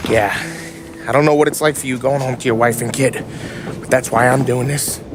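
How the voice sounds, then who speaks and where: A man's voice: medium pitch, steady tone, brisk, heavy background noise, lightly acted. An actor playing an embittered, foul-mouthed American mobster in his late thirties, talking in streetwise slang in a crime game. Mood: weary, bitter. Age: late 30s. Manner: streetwise slangy wiseguy talk. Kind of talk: cinematic video game crime drama acting.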